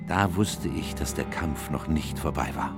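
A gentleman narrator, the watchful, loyal friend of a detective. His voice sits low and moves in a measured, grave storytelling manner. Music runs clearly behind this radio drama narration. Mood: grave, reflective. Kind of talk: scripted first-person radio drama narration.

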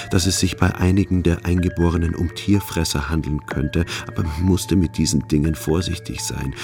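Radio-play soldier narrator, low creaky voice, formal deadpan delivery, naive and callous, music underneath. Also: male voice. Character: callous, naive soldier recounting a river mission in wilderness.

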